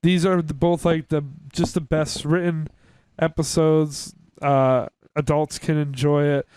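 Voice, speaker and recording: A man's voice: on the high side, little pitch movement, measured pace, a little mellow, smooth, dry recording. A man chatting casually as a podcast co-host, steering the discussion decisively. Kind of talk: casual chat.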